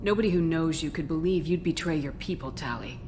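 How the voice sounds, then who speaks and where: A woman's voice: low-pitched, brisk, noisy background, natural voice. A woman voicing a composed, direct military commander in a video game. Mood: supportive. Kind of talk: video game dramatic dialogue.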